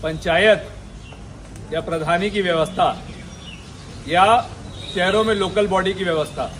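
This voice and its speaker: A man's voice: sits high, a bit bright. A man speaking as a senior police officer, addressing a meeting in an exhortatory way with emphatic repetition. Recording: live speech with background noise.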